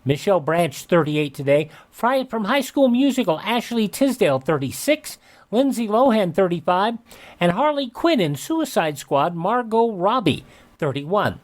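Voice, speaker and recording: A man's voice: high-pitched, very animated, a little slow. An older American man hosting a radio show, reading almanac items and dropping in informal asides.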